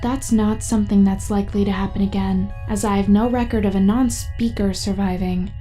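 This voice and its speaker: An actress voicing a composed female AI companion who speaks and narrates in a measured, precise way in an audio drama. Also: medium pitch, steady tone, warm tone, close to an everyday voice. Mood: measured, urgent. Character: Evie, calm female AI companion and storyteller. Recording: music behind the voice.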